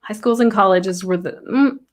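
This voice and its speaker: Woman hosting a yoga podcast, warm encouraging questions, average pitch. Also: normal speed. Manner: encouraging, reflective, asks open questions. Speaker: podcast host. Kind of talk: casual interview chat.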